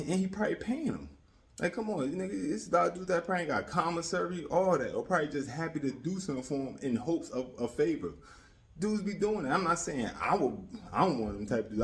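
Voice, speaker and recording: An American man giving casual, opinionated commentary in a slangy, streetwise way, repeating himself for emphasis and often checking that the listener follows. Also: medium pitch. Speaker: YouTube commentator.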